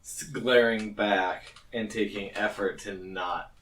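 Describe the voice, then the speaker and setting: A man's voice: fairly low pitch, steady tone, slow pace, a bit bright. A man narrating a tabletop roleplaying game as game master, bantering and joking as he goes, recorded at a distance. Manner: jokey, often breaking off into laughter.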